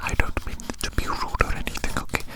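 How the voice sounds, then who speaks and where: A woman whispering a rambling ASMR roleplay very close to the microphone, softly repeating her phrases in a breathy, unvoiced voice. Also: fast. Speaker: ASMR performer.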